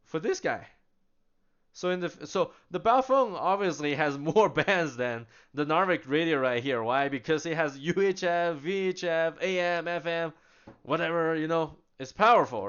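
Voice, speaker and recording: A man casually demonstrating radio gear, chatty and animated, laughing now and then as he explains. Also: on the high side, smooth, muffled recording. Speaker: product demonstrator. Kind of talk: casual product demo.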